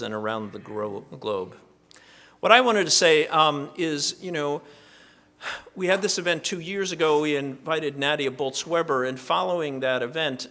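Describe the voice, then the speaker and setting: A man introduces a speaker at a church gathering in an earnest, measured way, pausing now and then to find his words. His voice sits slightly high for a man. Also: bright, forceful tone. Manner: earnest, measured, a little halting. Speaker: event organizer introducing the speaker. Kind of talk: introduction speech.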